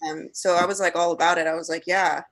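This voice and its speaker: Lowish-voiced woman chatting casually. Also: flat delivery, quick pace. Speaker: actor new to voice acting. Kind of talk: casual group chat.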